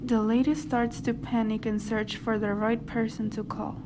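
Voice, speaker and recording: A woman's voice: on the high side, level tone, normal speed. A woman reading scripted narration for an amateur radio drama. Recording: noisy amateur home recording.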